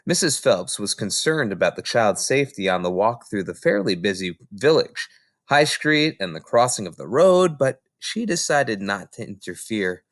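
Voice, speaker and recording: Lively, expressive male storybook reader. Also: on the low side, normal speed, dry recording, studio quality. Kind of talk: expressive audiobook narration of a children's novel.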